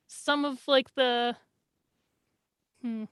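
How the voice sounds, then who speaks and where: A woman's voice: high voice, fairly even intonation, extremely slow, fairly bright tone, clear, resonant tone, no room sound, studio quality. A woman as a podcast guest, sharing opinions in casual conversation.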